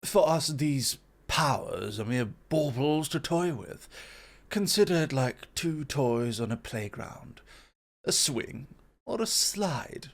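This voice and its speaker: A man voicing a calm, reasoning male god in an ASMR roleplay, measured and explanatory with gentle amusement. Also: on the high side, creaky, full character voice. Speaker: guest voice actor.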